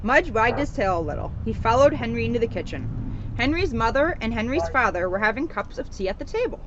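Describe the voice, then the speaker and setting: A woman reading a children's storybook aloud in an animated, expressive way, with emphasis on the sound words. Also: on the high side, fairly quick. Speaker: storybook reader, police officer. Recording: noisy, dull outdoor recording.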